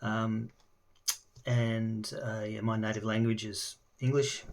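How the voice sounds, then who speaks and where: A man's voice: fairly low pitch, level tone, slow pace. An Australian man, middle-aged, introducing himself casually and talking with lots of ums and restarts. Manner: hesitant, many ums and restarts. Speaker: language learner. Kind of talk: casual self-introduction video.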